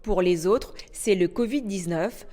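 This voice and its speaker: A woman anchoring a news bulletin, reading in a steady, formal way. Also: mid-range voice, unhurried. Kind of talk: scripted news narration.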